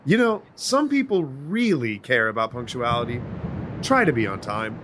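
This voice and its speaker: A man acting as an impatient vampire mentor in an audio drama, curt and sardonic, with a mid-pitched voice. Clear outdoor ambient noise runs behind him. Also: big pitch swings, moderate pace, lightly acted. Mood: irritated. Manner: sardonic, brusque.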